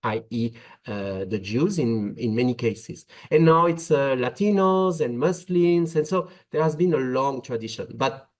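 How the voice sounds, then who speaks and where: A man from France with a French accent, giving a webinar talk on migration policy, speaking in measured phrases with frequent "uh" fillers. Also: medium pitch, lively intonation.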